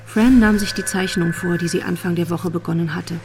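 A woman's voice: on the low side, steady tone, a little fast, a little mellow, soft background music, natural voice. A woman narrating a crime radio drama as a composed storyteller.

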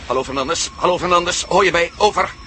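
An actor in a radio drama playing an eager, inquisitive adventurer. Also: male voice, high-pitched, a little fast, sharp tone, a little hiss or hum. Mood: urgent.

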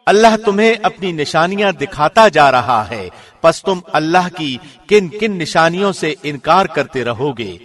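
Slightly high-voiced man reading scripture solemnly. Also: expressive, a bit bright. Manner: solemn, measured, formal enunciation. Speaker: translation narrator, radio host.

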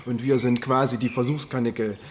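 A man's voice: medium pitch, little pitch movement, fairly quick, a little hiss or hum, lightly acted. Casting: man as breezy, wry police detective, mid-range voice, natural radio-drama delivery, faint ambience. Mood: explanatory. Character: chatty, plain-spoken, wry male police detective.

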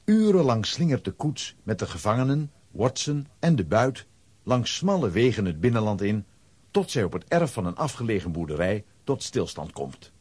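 A man narrating a children's adventure story in a scripted, dramatic style, with a husky voice. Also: fairly low pitch, very animated, normal speed, a little mellow, no echo.

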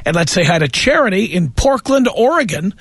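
A man with a high-pitched voice hosts a call-in radio show from the studio. He talks bluntly and lectures, pressing his points without backing down. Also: very animated, a little fast, a bit bright, breathy edge, no echo. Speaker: radio talk show host.

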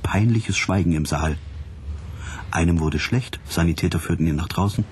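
A man's voice: low-pitched, normal speed, a little mellow, slight background noise, natural voice. An actor playing a wry, hard-boiled pop detective who narrates his case in a dry, laconic way in a radio drama.

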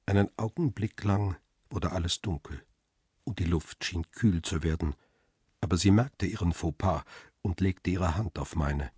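A man's voice: low-pitched, a little slow, a little mellow, husky, no echo, studio quality, full character voice. An actor playing a world-weary middle-aged paparazzo in a crime radio play, reflective and understated as he tells his story. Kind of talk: radio drama, first-person narration and dialogue.

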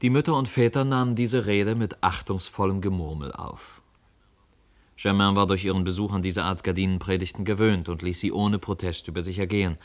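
A man's voice: sits low, fairly even intonation, brisk. A man narrating a literary radio play from a script.

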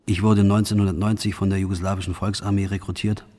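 An actor playing a grim soldier who recounts his war service in a measured, matter-of-fact radio play monologue. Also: sits low, little pitch movement, dark tone, faint music behind, natural voice. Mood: sober, recounting.

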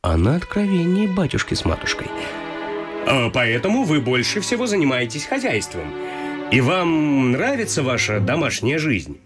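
Courtly, easily exasperated gentleman suitor. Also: fairly high pitch, wildly swooping pitch, moderate pace, over music. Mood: courteous, awkward. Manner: courtly, mannered, with muttered asides. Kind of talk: stage vaudeville radio play acting. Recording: musical backing in a radio play.

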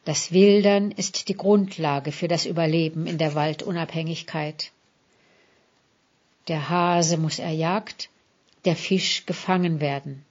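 A woman's voice: low voice, fairly even intonation, a little slow, darkish tone. Woman narrating in a slightly dull recording. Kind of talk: scripted narration, literary feature.

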